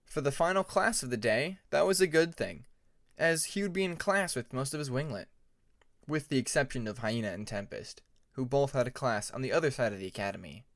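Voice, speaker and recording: A man reading a fantasy story aloud as scripted narration, in a measured storybook manner. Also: mid-range voice, expressive, fairly bright tone. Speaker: fan fiction audiobook narrator.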